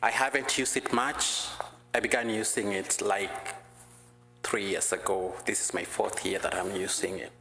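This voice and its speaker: Guest preacher, man, non-native English speaker, low voice, slow deliberate delivery, church echo. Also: steady tone, creaky, pushed and bright. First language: not English. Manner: slow, deliberate, careful phrasing. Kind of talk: prayer and sermon. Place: church sanctuary. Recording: echoey church hall.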